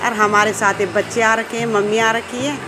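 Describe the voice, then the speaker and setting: A woman's voice: a little high, level tone, normal speed, background noise. A middle-aged woman from Garhwal, fondly pointing out and explaining old objects in a small cafe. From Garhwal, Uttarakhand. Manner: fond, explanatory, lists objects. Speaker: family member, mother. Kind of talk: casual show-and-tell. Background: music.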